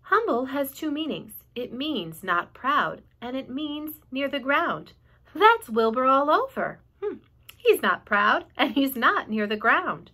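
A woman voicing a wise, gentle female spider who speaks slowly and soothingly. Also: a little high, animated. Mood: explaining.

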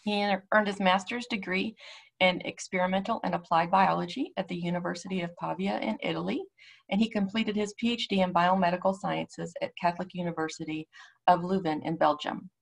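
Woman, symposium host, lowish creaky voice, reading an introduction, video call. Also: fairly even intonation, a little fast, low-quality recording. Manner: stumbles over words, apologetic. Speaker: event host introducing speaker.

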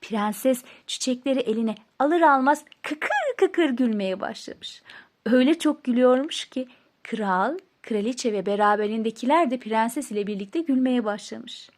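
A woman storyteller narrating a scripted fairy tale with an expressive storybook delivery. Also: on the high side, normal speed.

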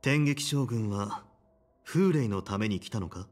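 An actor playing a dignified male general in a fantasy game, speaking calmly, formally and deliberately. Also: on the low side. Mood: measured, formal. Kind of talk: restrained game voice acting.

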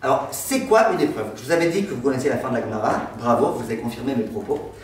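Animated rabbi teaching in an echoey room. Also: male voice, mid-range voice, fast, distant mic, slight background noise. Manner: animated, gestures broadly, jokes with the class. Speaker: rabbi teaching a Torah class. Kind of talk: lively storytelling lesson. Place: classroom with a decorated board, indoors. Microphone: far camera.

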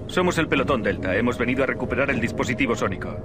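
Brisk squad officer for an action game, male actor; rapid, formal, middle register, clear background noise. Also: mid-range voice, expressive, natural voice. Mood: businesslike. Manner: formal military briefing. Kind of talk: video game acting.

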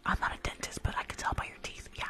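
A woman's voice: sharp tone, natural voice. Woman acting a whispering, snide spa receptionist. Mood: judgmental. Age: young adult. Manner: condescending, snarky, drawn-out judgmental remarks. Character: rude, judgmental female spa receptionist, condescending and snide. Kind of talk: whispered ASMR roleplay. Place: small room at home, indoors. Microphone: close furry-windscreened condenser microphone.

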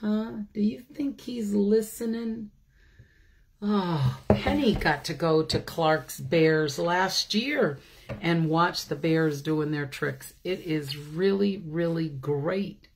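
Older female storyteller for children's picture books, very unhurried, chatty, question-asking delivery. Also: lowish voice, slight background noise. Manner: slow, asks listeners questions. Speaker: pastor hosting children's story time. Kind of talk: animated children's storybook reading with chatty asides. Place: small room at home, indoors. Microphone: phone or webcam at arm's length.

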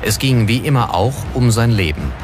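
A man's voice: mid-range voice, lively intonation, moderate pace. A man reading scripted documentary narration as the narrator. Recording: music playing behind the voice. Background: background music.

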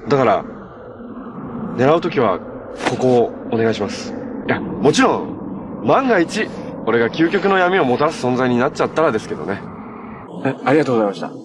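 An actor playing a cheerful, gentle young adventurer hero in a live-action TV drama, speaking in a friendly, earnest, casually polite way. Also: male voice, medium pitch, very animated, a little fast, long pauses, natural voice. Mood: quiet, resolute. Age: young adult. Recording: faint background noise on TV dialogue.

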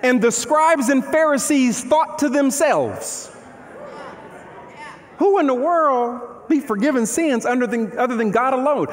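A man in his thirties preaching an animated, conversational sermon on a large church stage, firing off rhetorical questions while he moves about. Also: very high-pitched, unhurried. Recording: long hall reverb.

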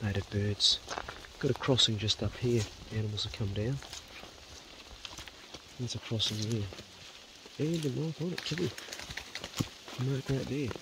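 A man's voice: mid-range voice, a little slow, pauses often, noisy background. Easygoing New Zealand homesteader man. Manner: relaxed, practical, coaxing the dog with "good boy" and "mate". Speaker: homesteading vlogger. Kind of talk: casual explanatory vlog, talking to his dog. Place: outdoors on a rural homestead and in bush. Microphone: body-worn action camera.

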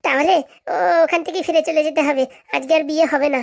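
A woman's voice: sits high, fairly even intonation, fast talker, vocal fry. A woman voicing a bossy, scheming village woman in a comic cartoon, scolding and demanding as she haggles.

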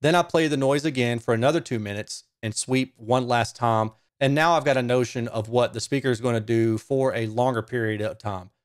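Male tech reviewer, medium pitch, casual explainer delivery, conversational and methodical, close-miked and dry. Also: a little fast, hardly any pauses, clean tone, no echo. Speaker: host, speaker reviewer. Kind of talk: casual technical explanation to camera. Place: small home studio room, indoors. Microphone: close studio microphone.

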